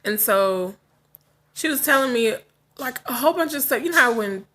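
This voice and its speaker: A woman's voice: fairly high pitch, animated, moderate pace, bright, forceful tone. A woman in her forties talking casually to camera in a vlog, frank and chatty, laughing often and drifting into asides, just woken up. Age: 40s. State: just woke up. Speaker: vlogger.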